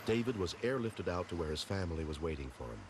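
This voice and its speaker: A man's voice: sits low, expressive, quick pace. A man reading scripted narration for a rescue documentary. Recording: loud noise behind narration.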